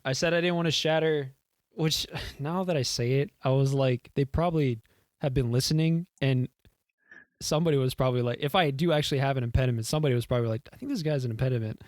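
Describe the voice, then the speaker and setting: A man's voice: mid-range voice, quick pace, no room sound, studio quality. A young man with a Houston Texan accent, co-hosting a podcast in casual, rambling chat, laughing and swearing loosely. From Houston, Texas. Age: young adult. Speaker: podcast co-host. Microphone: desk mic, leaning in.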